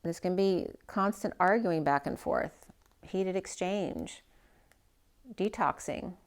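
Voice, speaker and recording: A woman with a low, gravelly voice works through a tarot spread in an unhurried, rambling way. Her voice is going, and she often trails off mid-phrase and starts over. It sounds like an informal, self-recorded reading. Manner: thinking aloud, rambling, trailing off and restarting. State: losing her voice. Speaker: tarot reader. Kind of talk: casual spoken tarot reading.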